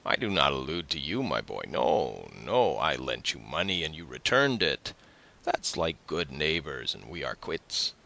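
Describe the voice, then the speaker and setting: A man's voice: rumbling low, big pitch swings, a little slow, creaky, bright, forceful tone, full character voice. A man voicing a hearty, sly young tailor in an audiobook, effusive and overfamiliar. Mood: sly, proud. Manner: effusive, familiar.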